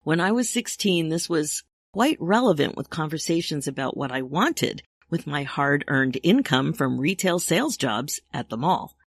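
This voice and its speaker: A woman's voice: low voice, big pitch swings, moderate pace, few pauses. A woman in her sixties hosting a solo podcast as a coach, chatty and upbeat with frequent laughs. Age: 60s. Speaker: podcast host and midlife coach.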